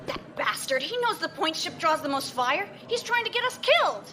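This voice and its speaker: A woman's voice: extremely high pitch, sing-song, normal speed, sharp tone, exaggerated voice. A woman playing a hot-headed, emphatic female military officer in an audio drama. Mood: angry, urgent. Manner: indignant, emphatic.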